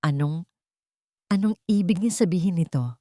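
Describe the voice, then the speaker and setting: A woman's voice: fairly low pitch, moderate pace, dark tone, no echo, studio quality, natural voice. A woman voicing a shaken, angry and determined wealthy mother in an audiobook drama, speaking with indignant urgency. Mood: shaken anger.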